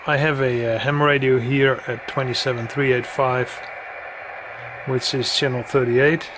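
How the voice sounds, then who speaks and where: Male gear reviewer, casual and halting, mid-range voice, heavy radio hiss behind him. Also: mid-range voice, flat delivery. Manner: halting, with hesitant pauses. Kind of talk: casual hands-on demonstration. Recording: loud radio hiss and static. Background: radio noise.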